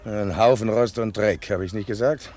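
A man's voice: on the low side, moderate pace, darkish tone, slight background noise, lightly acted. An actor playing a worried, talkative harbour local in a German radio play, politely insistent and grumbling as he cautions. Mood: disdainful.

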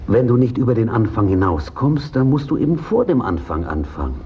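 A medium-pitched, animated voice of a man acting as a pompous, exacting mentor. He draws out and repeats his words with theatrical disappointment. The drama recording is dull, with faint noise. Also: natural voice. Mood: pondering, instructive. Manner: halting, emphatic, drawn-out repetitions. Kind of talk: German theatre radio drama.

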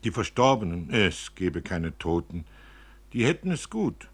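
A man giving an expressive reading of literary prose, as an author reading from his own novel. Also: low-pitched, a little slow, slightly rough.

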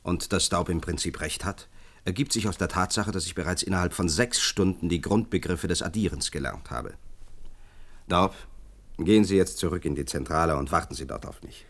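An earnest expert in a science-fiction radio play. His voice is very low, and he speaks formally, lecturing and persuading as if laying out a grand project. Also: brisk, a bit bright. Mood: earnest, insistent. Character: earnest male science expert pitching a visionary project to leaders. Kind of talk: German science-fiction radio drama acting.

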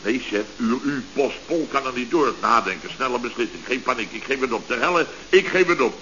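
An actor playing a bossy, easily panicked contractor boss in an exasperated, sputtering 1970s Dutch radio comedy style, with a Netherlands accent. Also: male voice, mid-range voice, animated, brisk, bright, forceful tone. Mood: panicky. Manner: exasperated, commanding, exclamatory, sputtering. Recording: dull, hissy old radio recording.

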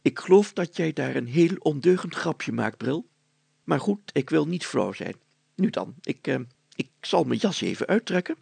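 A man's voice: fairly high pitch, sing-song, normal speed, vocal fry, darkish tone, breathy edge, no echo, voice pushed up, heavily acted. A man voicing a pompous, gullible, greedy older village mayor in a storybook reading, chatty and full of himself. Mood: blustery.

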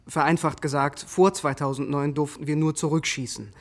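An actor playing an unrepentant soldier on trial in a radio drama, terse, factual and controlled. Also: male voice, fairly high pitch, steady tone, normal speed, close to an everyday voice. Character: unrepentant, matter-of-fact male soldier, sniper on trial. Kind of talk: German radio drama acting.

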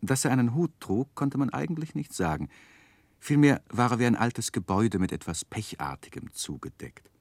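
A man narrating a 1960s radio play as a literary storyteller, measured and expressive. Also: mid-range voice, dry recording.

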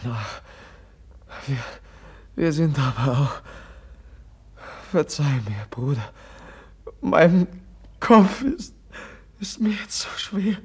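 A fragile young prince in a radio play, anxious and confused. His husky voice moves slowly and haltingly, stammering over repeated words. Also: a little high, very animated, heavily acted. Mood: dazed, confused. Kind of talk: German literary radio drama acting.

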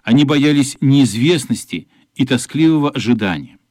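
A man's voice: mid-range voice, animated, moderate pace, a little mellow, no room sound. A man reading scripted narration for a radio play. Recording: dry studio, music behind.

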